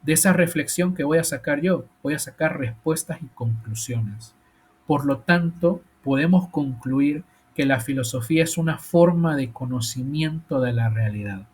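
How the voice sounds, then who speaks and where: A man's voice: a little high, moderate pace, darkish tone, husky. A man teaching a philosophy class, thinking aloud with many fillers and rhetorical questions. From El Salvador. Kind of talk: university lecture.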